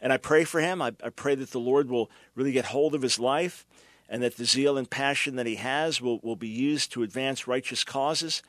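A man's voice: medium pitch, normal speed, fairly bright tone, no room sound. An older male host giving emphatic, preacherly commentary to his listeners. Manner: emphatic, preacherly, mocking at times. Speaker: radio show host.

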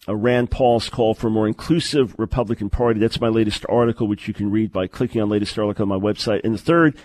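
A man's voice: medium pitch, a little mellow, no room sound. A man hosting a call-in radio talk show, talking in an earnest, emphatic way and repeating words to stress a point.

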